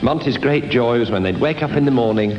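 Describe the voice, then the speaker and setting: A man's voice: mid-range voice, expressive, fast talker. A man improvising comic stories as a panellist, mock-serious and authoritative. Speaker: comedy panellist. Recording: muffled echoey radio with heavy noise.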